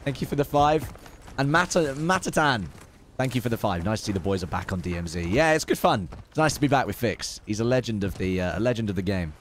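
Relaxed man chatting through a gaming session. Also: fairly low pitch, quick pace, slight background noise. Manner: relaxed, joking, thanks viewers. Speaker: streamer and host. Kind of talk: casual gaming chat.

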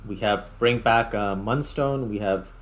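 A man's voice: fairly low pitch, unhurried. A man giving casual gameplay commentary as he hosts. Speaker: host, gamer. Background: background music.

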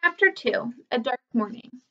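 Young woman, high voice, casual teacher read-aloud, slightly dull webcam sound. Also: lively intonation, moderate pace, bright, forceful tone, slight background noise. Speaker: elementary school teacher reading aloud. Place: small furnished room at home, indoors.

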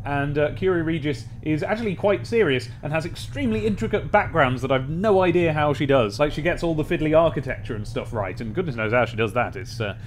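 A man's voice: fairly high pitch, expressive, a little fast, few pauses, a little hiss or hum. A young British man giving casual game commentary and reading aloud, chatty, rambling and wry, close on a desk mic. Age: young adult. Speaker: let's play host. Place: small room at home or office, indoors. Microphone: desk microphone with pop filter, close.

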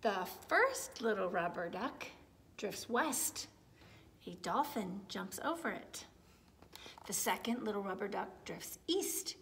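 A woman in her forties reading a picture book aloud to young children, with deliberate phrasing. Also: mid-range voice, very animated, gravelly, a bit bright, slight background noise. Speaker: children's story time reader. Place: large indoor room with high wooden ceiling.

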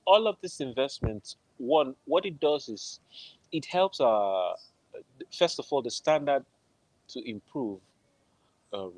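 A man's voice: medium pitch, lively intonation, slow, pauses often, dry recording, low-quality recording. A man from Nigeria with a Nigerian accent, speaking conversationally as a film composer guest on a video call. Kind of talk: conversational interview answers. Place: room at home, on a video call. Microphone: wired earphones on a video call.

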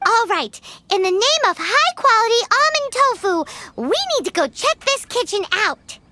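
A woman voicing a small childlike fairy companion, bubbly and bossy, squeaky and cute, in an anime-style game. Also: big pitch swings, moderate pace, pushed and bright, full character voice. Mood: determined. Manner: cute, exaggerated.

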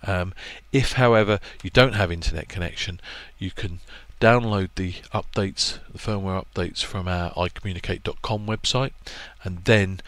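A man talks through a product setup in a very low, easygoing voice. He pauses often with ums and restarts as he explains each step, recorded as a voice-over on a screen capture. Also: no echo. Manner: relaxed, lots of ums and restarts, plainspoken. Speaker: product presenter for a marine electronics maker.

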